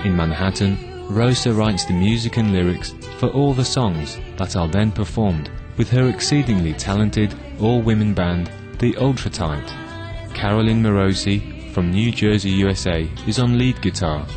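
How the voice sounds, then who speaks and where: A man's voice: on the low side, moderate pace. A man reading scripted promotional documentary narration. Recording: music behind the voice. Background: music.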